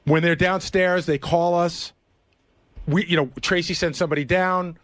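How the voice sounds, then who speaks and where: A man's voice: high voice, fairly even intonation, moderate pace, a bit bright, no room sound, clean studio sound. A man on a radio show's staff, casually explaining things step by step in short, choppy phrases.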